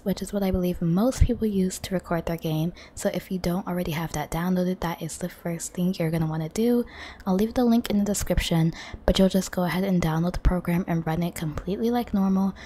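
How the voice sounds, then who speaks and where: A woman with a hoarse voice, losing her voice, chatting in a friendly way to viewers as she walks through a computer tutorial on a desktop microphone at home. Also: lowish voice, steady tone, a little fast, mellow, slight background noise. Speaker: gaming channel host. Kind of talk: casual screen-recording tutorial. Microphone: desktop condenser microphone.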